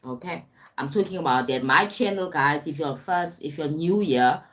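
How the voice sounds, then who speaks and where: A woman with a low-pitched voice and a South African accent speaks as a life coach on an informal livestream. She is emphatic, repeats her phrases and often tags them with a short check-in to her listeners. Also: moderate pace, fairly bright tone. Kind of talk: informal motivational livestream talk.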